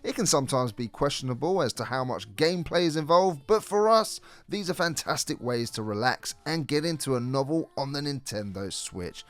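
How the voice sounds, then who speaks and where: A man's voice: medium pitch, animated, moderate pace, hardly any pauses. A man reading a scripted video game review as a co-host. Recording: music behind the voice. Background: background music.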